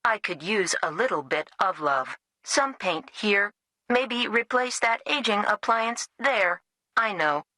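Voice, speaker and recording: A needy, lonesome talking house for a radio ad, crisp bright tone, dry studio sound. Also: female voice, mid-range voice, expressive, slow pace, dry recording, poor audio. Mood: wistful, pleading. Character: lonely, needy talking house pleading for care. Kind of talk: scripted radio advert.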